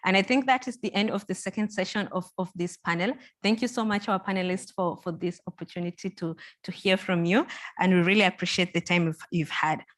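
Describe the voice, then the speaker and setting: A woman's voice: on the low side, fairly even intonation, brisk. A woman whose first language is Swahili, moderating a panel and giving earnest, encouraging closing remarks, often repeating words and using fillers.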